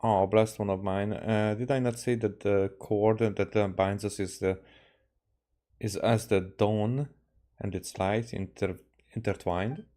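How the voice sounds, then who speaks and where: A grandiose teenage princess from a game, florid and pompous, read by a man. The voice is low and relaxed, and the speeches come out haltingly. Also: natural voice. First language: Hungarian. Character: grandiose, theatrical teenage girl playing dark princess. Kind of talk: reading game dialogue aloud.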